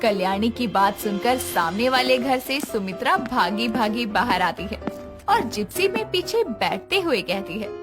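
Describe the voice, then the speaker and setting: A woman narrates a cartoon story from a script. Her fairly high voice swings up and down in a lively way, with music clearly behind it. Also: unhurried.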